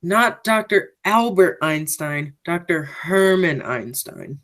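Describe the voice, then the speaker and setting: A woman's voice: lowish voice, animated, takes it slowly. Teen girl doing a creepy criminal guy for a radio play, kinda grand and theatrical. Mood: insistent. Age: teens. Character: sinister, theatrical criminal nephew returning home. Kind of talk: school radio play acting.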